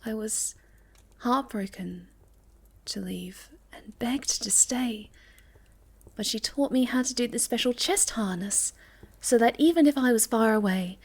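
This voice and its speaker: Woman playing a shy, high-voiced sweet moth girl. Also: expressive, unhurried, full character voice. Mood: tender, wistful. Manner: shy, hesitant, gentle, often dropping to breathy near-whisper. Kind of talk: ASMR roleplay, soft intimate storytelling to the listener.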